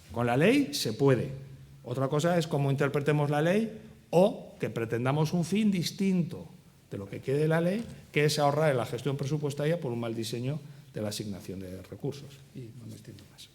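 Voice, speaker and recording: A man speaking on a panel in a measured, emphatic way. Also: medium pitch, darkish tone. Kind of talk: panel discussion.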